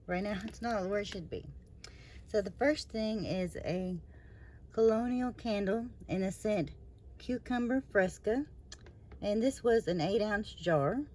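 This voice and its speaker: A woman hosting her own review channel, slightly hoarse after surgery, talking in a rambling, chatty way with lots of fillers and trailing asides. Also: mid-range voice, darkish tone, a little hiss or hum. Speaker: candle review channel host. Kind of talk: casual product review vlog.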